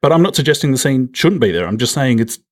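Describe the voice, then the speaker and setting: A man's voice: medium pitch, lively intonation, fast talker. A man co-hosting a film podcast in casual conversation, jokey and emphatic, often repeating himself to make a point.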